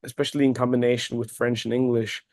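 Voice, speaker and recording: A man whose first language is German, speaking English as a guest in a relaxed interview and repeating words while he reflects. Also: mid-range voice, quick pace, no echo, clean studio sound. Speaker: guest, cigar company executive. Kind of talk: casual conversational interview.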